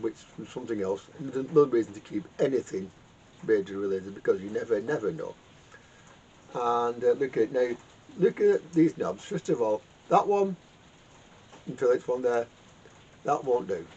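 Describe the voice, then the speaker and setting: A man talks through a hands-on repair in a halting, rambling manner, repeating himself as he goes. The recording is dull and lo-fi, with noise behind him. His pitch is an ordinary middle one for a man. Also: lively intonation, darkish tone. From United Kingdom. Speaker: vintage radio repairer and video host. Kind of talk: casual unscripted workshop demonstration. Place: home garage workshop. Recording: dull lo-fi sound with clear background noise.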